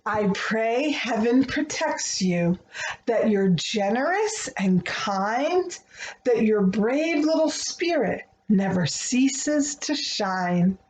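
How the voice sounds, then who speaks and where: Female storybook reader, fairly high and lively pitch, deliberate expressive phrasing for small children, echoey room recording. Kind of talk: read-aloud storytelling of a rhyming picture book. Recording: roomy echo, faint background noise.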